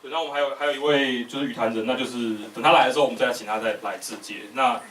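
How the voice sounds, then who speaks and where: A man moderating an informal live panel in English and Mandarin, asking loosely phrased, halting questions. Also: mid-range voice, fairly even intonation, a bit bright. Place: live talk event in a room. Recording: echoey room, distant mic, faint noise.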